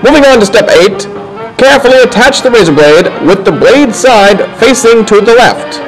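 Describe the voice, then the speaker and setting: Man, high-pitched voice, scripted instructional narration, deliberate folksy delivery, faint music behind. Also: lively intonation, rough recording. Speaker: instructor narrating a how-to film.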